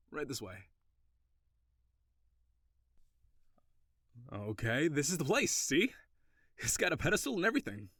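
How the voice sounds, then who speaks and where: A man's voice: mid-range voice, a bit bright, husky, no echo, studio quality, exaggerated voice. A man playing a chatty, scheming and slyly devoted elf druid in an audio roleplay, rambling and coaxing as he addresses his companion. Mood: eager, persuasive. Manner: rambling, eager, coaxing, self-correcting.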